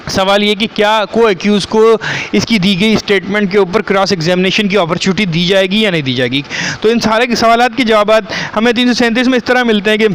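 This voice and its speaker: High-voiced Pakistani man lecturing on law. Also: fairly bright tone, slight background noise, poor audio. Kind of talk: explanatory legal lecture, switching between reading out and explaining.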